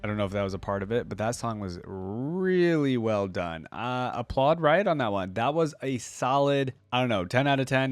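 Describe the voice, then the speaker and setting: A man's voice: on the low side, dry recording. A man giving casual, informal reaction commentary as a video host, musing aloud as he goes.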